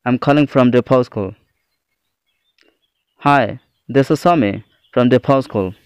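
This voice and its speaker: A male teacher delivers a scripted lesson at a slow pace, setting out each phrase deliberately. His voice is clean and smooth at a medium pitch, recorded dry and close. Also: darkish tone, dry recording. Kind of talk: scripted English lesson, reading example phrases.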